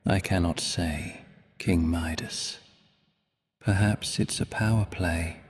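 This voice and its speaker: An actor playing a sly, smooth-talking demon dealmaker who drops into soft, whispery asides in an ASMR audio drama. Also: male voice, low voice, fairly even intonation, takes it slowly, big-room sound, exaggerated voice.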